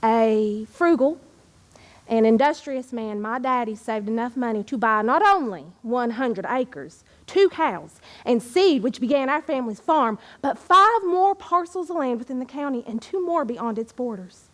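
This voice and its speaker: Casting: actress as proud, nostalgic Appalachian woman poet of a bygone era; high voice, very unhurried, theatrical stage storytelling. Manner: dramatic, deliberate, expressive delivery with long pauses. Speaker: historical reenactor reciting poetry. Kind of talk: theatrical first-person living-history monologue with verse recitation.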